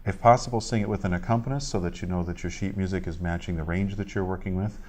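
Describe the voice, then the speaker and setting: A man being interviewed as a theatre director, explaining a show in a measured way with short halting pauses. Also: low voice, fairly even intonation, a little mellow.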